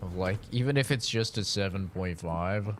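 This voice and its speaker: A man streaming a game, talking casually with a raspy, deeper-than-usual morning voice, rambling with lots of "like" and "man". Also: normal speed, a little hiss or hum. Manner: rambling, filler-heavy, says "like" and "man" often. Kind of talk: casual gameplay livestream commentary.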